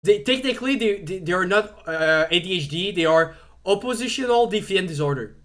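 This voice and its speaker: A man's voice: high voice, expressive, fairly quick, fairly bright tone. A young man whose first language is French, talking casually on a live stream, rambling with lots of fillers and stumbling restarts.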